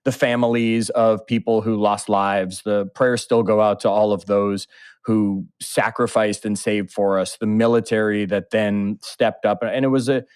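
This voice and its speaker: A man with a lowish voice hosts a podcast interview, recorded close and dry with no room sound. He asks earnest, drawn-out questions in a friendly tone. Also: fairly even intonation, a little mellow, clean tone, no echo. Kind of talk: interview questions, casual chat.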